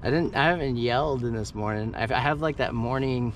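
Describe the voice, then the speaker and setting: A man's voice: mid-range voice, a little fast, a little hiss or hum. A man with a groggy voice, chatting casually as he fishes from a kayak out on the water. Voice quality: groggy morning voice. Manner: relaxed, upbeat, chatty. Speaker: fishing vlogger. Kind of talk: casual running commentary while fishing. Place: outdoors on a kayak at sea.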